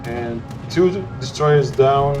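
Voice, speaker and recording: A man with a Greek accent narrates a game match in unhurried, careful English, stopping often to search for words. His voice sits in an ordinary middle pitch. Faint game music plays under his commentary. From Greece. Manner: halting, searching for words with frequent "uh". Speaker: gameplay commentator. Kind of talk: gameplay commentary.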